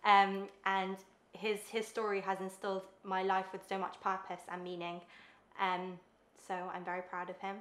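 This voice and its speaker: A woman's voice: mid-range voice, completely flat delivery, moderate pace, a bit bright. A woman in her twenties interviewing her grandfather, speaking earnestly with frequent ums, in a living room. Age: 20s. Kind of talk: family interview. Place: bright living room, seated on sofa. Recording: roomy echo.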